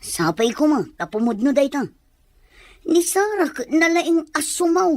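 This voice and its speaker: A woman's voice: high voice, very animated, measured pace, darkish tone, no room sound. A woman playing a blunt, motherly older village woman in a serial drama. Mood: inquiring. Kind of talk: Ilocano radio-style serial drama acting.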